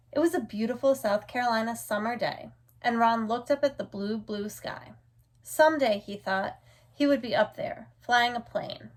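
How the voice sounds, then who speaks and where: A woman reading a children's picture book aloud as a storyteller, in an expressive, deliberate way. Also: on the high side.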